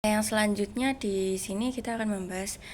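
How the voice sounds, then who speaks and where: A woman's voice: medium pitch, steady tone, moderate pace, darkish tone. A young woman, a student presenter explaining material step by step. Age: young adult. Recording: faint background noise.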